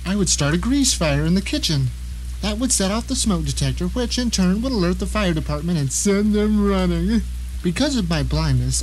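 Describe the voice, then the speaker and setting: A man's voice: sits high, a little mellow. An actor playing a resourceful, wry blind writer who narrates his own predicament in a theatrical audio drama monologue. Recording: noisy 1990 radio drama mix.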